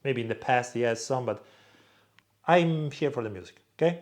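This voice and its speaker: A young man from Croatia speaks in a relaxed vlog Q&A. He answers fans off the cuff, bluntly, and swears freely. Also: mid-range voice, normal speed. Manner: blunt, swears freely, reads viewer questions aloud in a louder voice. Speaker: vlog host answering viewer questions. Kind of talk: casual Q&A monologue.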